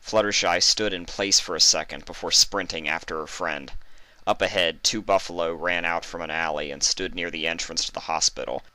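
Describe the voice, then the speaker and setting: A man with a deep voice narrates a piece of fan fiction. His tone has a bright, forceful edge. The sound is close and very dry, as scripted reading. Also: fairly even intonation, normal speed, dry recording.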